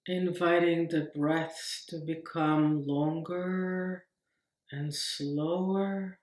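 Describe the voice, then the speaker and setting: A woman leads a guided meditation in a roomy, echoing space. Her delivery is very slow and even, with gentle instructions offered in short, unhurried phrases. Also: sits low. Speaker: meditation teacher.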